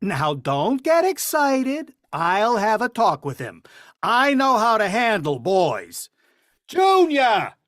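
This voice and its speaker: A woman's voice: fairly high pitch, wildly swooping pitch, slow, no room sound, heavily acted. A woman playing a bumbling, well-meaning middle-aged father in a 1950s-style radio sitcom, talking in a folksy, tongue-tied way. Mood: self-assured. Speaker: radio play actor.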